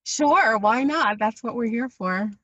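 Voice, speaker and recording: A woman's voice: fairly high pitch, lively intonation, measured pace, a bit bright, no echo. A woman hosting an interview, asking curious, probing questions. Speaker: interview host. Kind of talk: conversational interview. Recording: dry, dull lo-fi audio.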